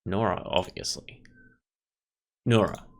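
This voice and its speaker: A man's voice: sits low, fairly even intonation, slow. A man hosting a visual novel playthrough, reading aloud and commenting in a casual, reflective, tentative way. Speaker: gaming video host. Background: faint music.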